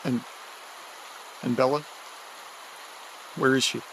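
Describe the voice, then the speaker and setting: An actor playing an anxious, desperate man in an audio drama, speaking haltingly and repeating words. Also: medium pitch, fairly even intonation, gravelly, slight background noise, low-quality recording. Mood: nervous, hesitant. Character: anxious, desperate man searching for his dog.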